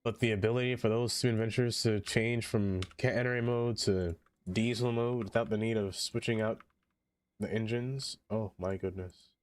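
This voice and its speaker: A man streaming a game in casual livestream chat, with a stuffed-up nose, chatty and excitable as he greets viewers by name. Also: lowish voice, moderate pace, dry recording.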